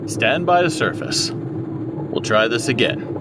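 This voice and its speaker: An actor playing a gruff, impatient submarine commander in an audio drama, barking terse orders with a sardonic edge. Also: male voice, expressive, slow pace. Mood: brisk, demanding. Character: gruff, impatient male submarine commander, sarcastic under pressure. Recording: distant voice under loud engine rumble.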